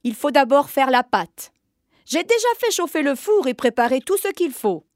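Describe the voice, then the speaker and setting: A woman's voice: high-pitched, big pitch swings, moderate pace, no room sound, clean studio sound. A woman voicing a wicked, greedy old witch in a storybook reading, cackling, honeyed one moment and hissing or snarling the next. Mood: bossy. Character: wicked old female witch, greedy, cruel, child-eating.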